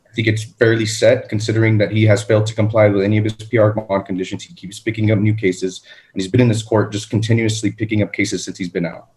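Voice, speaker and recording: Male prosecutor, fairly low register, halting courtroom argument, deferential, frequent self-corrections. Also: level tone, darkish tone, poor audio.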